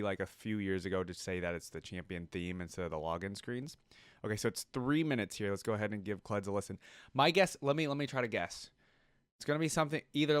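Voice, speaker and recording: A man's voice: on the low side, clean tone, dry recording, clean studio sound. A man giving casual, off-the-cuff reaction commentary, musing aloud as he works through his guesses. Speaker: reaction video host. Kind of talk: casual reaction commentary over game videos. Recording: music playing behind the voice. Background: game video soundtrack and theme music.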